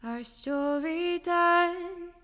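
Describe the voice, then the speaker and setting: A woman singing a slow folk hymn in a radio play. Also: extremely high pitch, a bit bright, faint music behind.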